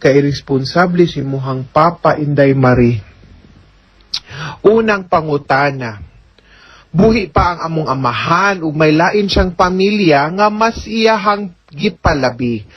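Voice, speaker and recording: A woman's voice: deep voice, lively intonation, measured pace, dry recording. A woman with a Cebuano accent hosting a radio advice show as an attorney, explaining things conversationally with frequent tag questions. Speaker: host, attorney giving advice. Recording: dull lo-fi audio.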